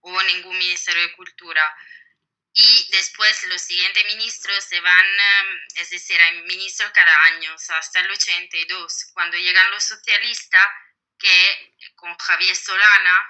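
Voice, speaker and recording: A woman's voice: fairly low pitch, steady tone, unhurried, pushed and bright, low-quality recording. A woman speaking with an Italian accent, a native Italian speaker answering interview questions as an author, thoughtful and full of hesitations. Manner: thoughtful, many hesitations and fillers.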